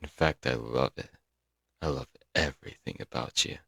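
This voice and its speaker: Young male yandere stalker, played by a man: very low, dry-recorded, doting, earnest, clingy, for intimate audio roleplay. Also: moderate pace, gravelly, pushed and bright, slightly rough, no echo, exaggerated voice. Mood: possessive, adoring. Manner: doting, earnest, dramatic with sighs and chuckles. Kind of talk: intimate ASMR audio roleplay, scripted monologue.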